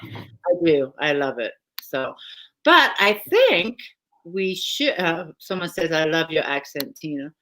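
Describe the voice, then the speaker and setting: A woman's voice: low voice, sing-song, slow pace, a bit bright, poor audio. A woman with a British accent hosting a casual live author chat from home, talking chattily and laughing often. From Britain. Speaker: host.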